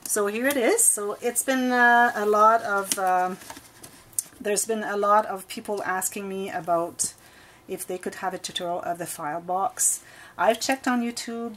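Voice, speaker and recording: A woman's voice: mid-range voice, a little hiss or hum. A woman from Montreal with a Quebec French accent, a native French speaker, chatting casually through a scrapbooking tutorial with many fillers and restarts, recorded indoors at a table by the camera. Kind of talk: casual craft tutorial talk.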